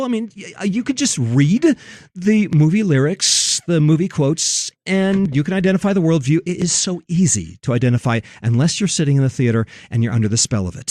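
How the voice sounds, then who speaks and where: Emphatic American male radio host, higher-pitched voice. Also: a little mellow, no room sound, clean studio sound. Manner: emphatic, rhetorical, addressing listeners as friends. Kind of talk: religious talk radio commentary.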